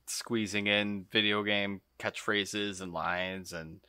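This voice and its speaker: A man's voice: on the low side, little pitch movement, slow, pushed and bright, smooth, no room sound, studio quality. A man co-hosting a podcast, giving blunt opinions in casual conversation. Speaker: podcast co-host. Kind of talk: casual chat.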